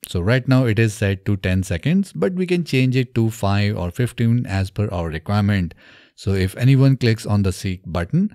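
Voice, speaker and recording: A man presents a software walkthrough step by step in a mellow, low voice. His intonation rises and falls freely. He speaks close to the microphone in a small indoor studio. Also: no echo. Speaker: tutorial presenter. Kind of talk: step-by-step software tutorial narration. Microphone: close desk microphone on an arm.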